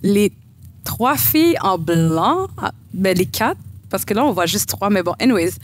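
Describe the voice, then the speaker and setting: A woman's voice: mid-range voice, expressive, unhurried, slight background noise. A woman with a Québécois accent, a bride appearing as a podcast guest, talking at length in a chatty way with many fillers. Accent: Québécois French. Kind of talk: casual interview chat.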